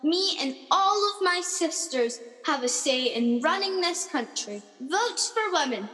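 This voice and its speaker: A woman acting a proud, high-minded suffragette prisoner in a comic radio play, speaking in a declamatory manner. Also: animated, measured pace, sharp tone, exaggerated voice. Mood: proud, defiant. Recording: very reverberant, hall-like sound.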